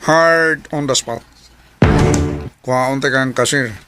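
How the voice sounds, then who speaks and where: A man's voice: mid-range voice, takes it slowly, sharp tone, clear, resonant tone, soft background music. A man playing a pushy employer in a Bisaya radio drama, clipped and insistent, with a Filipino accent. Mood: persuasive. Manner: clipped, insistent, mixes in English phrases. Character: smooth-talking, pushy male employer offering a job.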